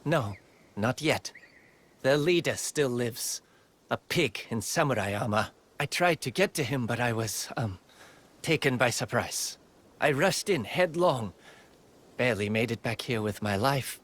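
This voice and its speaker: An actor playing a proud, defensive young sword fighter in a video game, earnest, intense and guarded. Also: male voice, medium pitch, lively intonation, a little slow, a bit bright. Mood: bitter, rueful. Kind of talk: video game cutscene acting.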